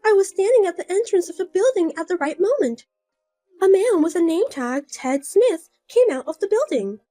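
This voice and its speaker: A woman's voice: very high-pitched, sing-song, normal speed, mellow, faint music behind, pitched above the performer's own voice. A woman voicing a scheming younger sister who taunts and boasts in a sing-song way. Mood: smug.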